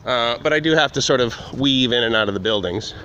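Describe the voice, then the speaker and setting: A man's voice: mid-range voice, fairly quick, bright, forceful tone, muffled recording. Rambling man vlogging outdoors, noisy background. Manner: rambling, digressive, frequently correcting himself. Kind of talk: casual walking vlog, talking to camera. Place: outdoors, walking through a university campus. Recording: noisy outdoor recording with wind.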